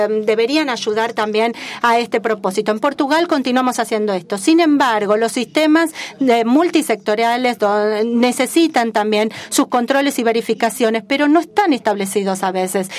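A woman giving live simultaneous interpretation at a formal conference, measured and formal with frequent hesitations. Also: on the high side.

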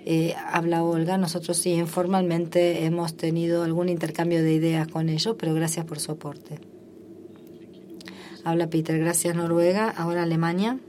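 A woman working as a simultaneous interpreter at a formal meeting, relaying each speaker in the first person and pausing often with filler sounds. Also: fairly low pitch, level tone, mellow, slight background noise.

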